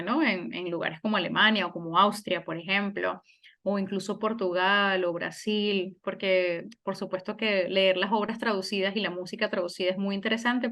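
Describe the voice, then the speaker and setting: A woman's voice: mid-range voice, a bit bright. A middle-aged woman from Venezuela, a singer and German teacher, talking thoughtfully in an interview with frequent restarts. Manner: reflective, many restarts and fillers. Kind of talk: conversational interview.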